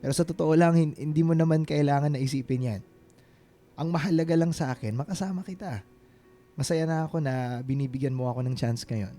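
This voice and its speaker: An actor with a Filipino accent playing an earnest, devoted man gently courting an old love in a radio drama, pleading and halting. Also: a little high, close to an everyday voice. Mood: reassuring. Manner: pleading, halting, stumbles over words. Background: background music.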